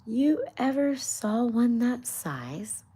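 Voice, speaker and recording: A woman voicing a bossy male rabbit who scolds sharply in a raised, higher voice. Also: sing-song, slow pace, dark tone, slightly rough, exaggerated voice. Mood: angry.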